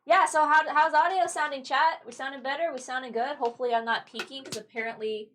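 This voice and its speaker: A woman hosting a livestream with a high voice, chatting in a bubbly, rambling, giggly way. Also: steady tone, quick pace, fairly bright tone. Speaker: stream host. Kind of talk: casual livestream chat.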